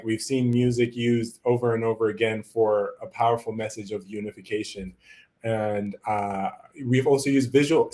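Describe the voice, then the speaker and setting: A man from Malawi, a native Chichewa speaker, giving earnest, reflective interview answers in a halting, conversational way. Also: on the low side, darkish tone. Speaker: interview guest, musician and visual artist. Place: his art studio, on a video call.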